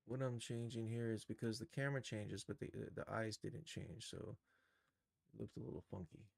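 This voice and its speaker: A man giving a casual live software tutorial, informally thinking aloud as he works. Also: lowish voice, steady tone, moderate pace, gravelly, dry recording. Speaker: streamer teaching game-engine animation.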